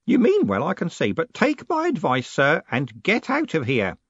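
Tearful, humble old postal clerk, high and slow, pleading, as a male reader puts him on. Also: wildly swooping pitch, husky, dry recording, dull audio, exaggerated voice.

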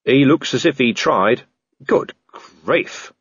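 A man's voice: medium pitch, animated, takes it slowly, gravelly, husky, no room sound, lo-fi sound, pitched above the performer's own voice, exaggerated voice. A man voicing a weary middle-aged guard commander with a dry, sardonic manner. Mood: wry.

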